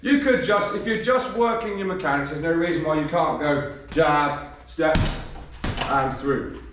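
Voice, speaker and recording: Man, boxing coach, slightly croaky, fairly high voice, echoey gym. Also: unhurried, far from the mic, noisy background. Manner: demonstrates moves while talking, counting punches. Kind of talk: instructional demonstration.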